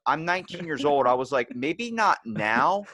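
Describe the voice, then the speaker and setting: A man's voice: a little high, lively intonation, moderate pace, bright, forceful tone, no echo, studio quality. A young man hosting a casual podcast, telling comic anecdotes irreverently and acting out bits of dialogue. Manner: irreverent, swears freely, acts out dialogue. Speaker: podcast host.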